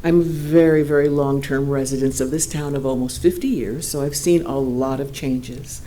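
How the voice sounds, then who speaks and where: A woman's voice: very deep voice, fairly even intonation, normal speed. Earnest older woman at the podium. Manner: earnest, concerned, naturally loud voice. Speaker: resident giving public comment. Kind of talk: public comment at a meeting. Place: city council chamber podium.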